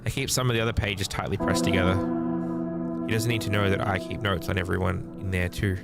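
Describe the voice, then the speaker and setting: A man's voice: sits low, fairly even intonation, brisk, gravelly. A man reading a visual novel aloud as a streamer, in dramatic narration. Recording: music under the voice. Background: prominent music.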